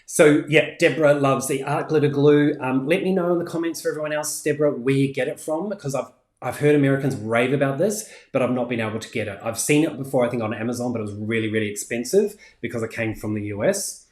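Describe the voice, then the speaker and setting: A man's voice: mid-range voice, fast talker. A man in his forties with a New Zealand accent, hosting a casual craft livestream and chatting through viewer comments with plenty of ums. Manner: chatty, many ums, laughs easily. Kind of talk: casual live chat reading comments. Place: home craft room, indoors. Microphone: fixed camera at desk distance. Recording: echoey room.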